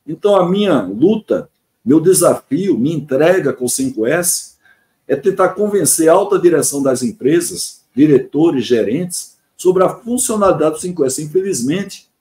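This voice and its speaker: A man's voice: on the high side, lively intonation, moderate pace, a little mellow. A man in his sixties with a Brazilian accent, explaining his work as a consultant in an earnest way, stressing points through repetition and lists. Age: 60s (62). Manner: earnest, emphatic repetition and listing. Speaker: podcast guest, engineering consultant. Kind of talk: explanatory interview answer, semi-formal.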